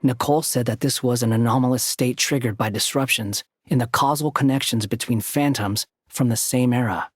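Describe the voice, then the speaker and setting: A man's voice: mid-range voice, fairly quick, hardly any pauses, husky, no room sound, faint music behind, lightly acted. A man as a cool, terse young man. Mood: serious. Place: studio.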